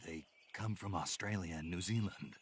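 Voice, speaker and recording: An actor playing a gruff, terse, stoic soldier in a video game, deadpan and gravelly. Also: male voice, deep voice, normal speed, slight background noise. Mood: terse, matter-of-fact.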